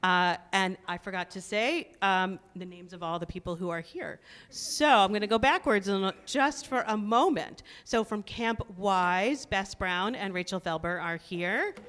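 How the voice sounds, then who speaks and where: A woman welcoming guests and thanking helpers at a synagogue service in a chatty, upbeat way, laughing now and then. Her voice sits at a middle pitch. The sound trails off with a long reverb in a large sanctuary. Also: a little slow, few pauses, a bit bright. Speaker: congregation leader welcoming guests. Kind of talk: casual spoken welcome and thanks.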